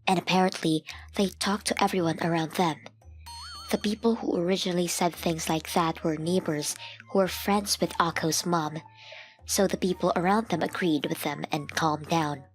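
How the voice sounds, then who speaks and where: A woman's voice: lowish voice, steady tone, moderate pace, close to an everyday voice. A woman narrating a scripted first-person story as a grown woman soberly looking back on a family memory. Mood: sober, reflective. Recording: music under the voice.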